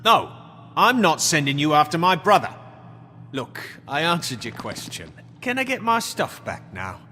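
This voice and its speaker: An actor playing a cocky, evasive street thug in a video game, flippant, slangy and defensive under questioning. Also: male voice, a little high, big pitch swings, normal speed, sharp tone, big-room sound, slight background noise.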